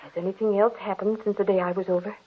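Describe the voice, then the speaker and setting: A woman's voice: medium pitch, level tone, fast talker, natural voice. An actress playing a nervous, superstitious, easily frightened woman in an agitated, dramatic old radio horror style. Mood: curious, uneasy. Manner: agitated, dramatic, breathless exclamations. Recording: scratchy, narrow-band vintage radio recording.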